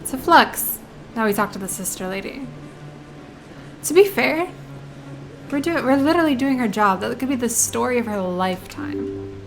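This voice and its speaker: A woman streaming a game playthrough speaks in a high voice with game music clearly behind her. She is playful and cocky, reacting casually and exclaiming as she goes. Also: expressive, moderate pace, pauses often, low-quality recording. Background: game music and dialogue.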